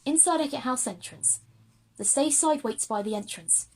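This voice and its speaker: A woman narrates an audio drama from a script. Her voice is fairly high with a breathy, husky texture, setting out scenes in the present tense. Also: animated, moderate pace, darkish tone, low-quality recording.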